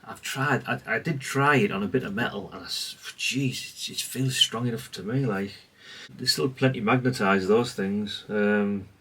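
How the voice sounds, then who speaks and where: A man's voice: fairly low pitch, normal speed, few pauses, fairly bright tone, husky, recorded from a distance. A man giving a casual, rambling, understated show-and-tell, speaking off the cuff. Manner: rambling, self-effacing, understated. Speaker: hobbyist presenter.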